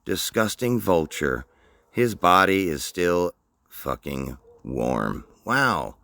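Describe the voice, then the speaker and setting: A man's voice: deep voice, very animated, slow, creaky, sharp tone, no room sound. A man hosting a commentary show, mocking the clips he plays with dry sarcasm. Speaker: commentary host.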